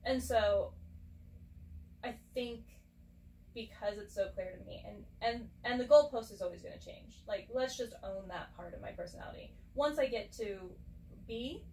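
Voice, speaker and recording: A woman's voice: a little high, moderate pace, warm tone. A woman in her thirties, co-hosting a casual podcast chat, talking earnestly and reflectively and laughing easily. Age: 30s. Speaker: podcast co-host. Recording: roomy and distant with faint background noise.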